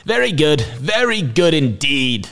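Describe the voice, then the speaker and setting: A man's voice: on the high side, big pitch swings, a little slow, fairly bright tone, voice pushed up, exaggerated voice. A man voicing a scornful, tyrannical governor in a storybook, screaming imperious commands. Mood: smug delight. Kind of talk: theatrical storybook reading.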